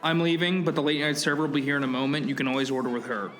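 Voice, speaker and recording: A man's voice: medium pitch, steady tone, very fast, a bit bright, faint music behind, close to an everyday voice. A man playing a chipper, overly courteous waiter in a comic radio play.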